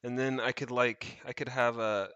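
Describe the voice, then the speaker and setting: A man's voice: mid-range voice, flat delivery, normal speed, sharp tone, clear, resonant tone, no echo, lo-fi sound. A man chatting off the cuff on a livestream, rambling and thinking aloud with casual swearing as he tinkers. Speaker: streamer, tech hobbyist. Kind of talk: casual unscripted livestream chat while tinkering. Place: indoors, rented home.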